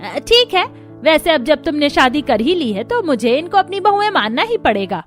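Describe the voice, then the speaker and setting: Lady doing a bossy greedy cartoon mother in law, really high voice, scolds and orders people around, bit of music under it. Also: very animated, moderate pace. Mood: shocked, grudging. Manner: sharp-tongued, commanding.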